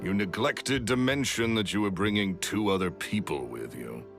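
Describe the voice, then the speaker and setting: An actor playing a wealthy, short-tempered retired magician in a video game, curt, dismissive and impatient. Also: male voice, fairly low pitch, lively intonation, moderate pace, faint music behind, natural voice. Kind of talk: English game voice acting.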